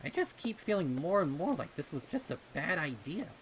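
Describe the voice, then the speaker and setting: A man's voice: a little high, sing-song, normal speed, a little hiss or hum, voice pushed up, rough recording. A man voicing a young cartoon pony colt, a chummy but uneasy adventurer with casual, boyish talk, in a story reading.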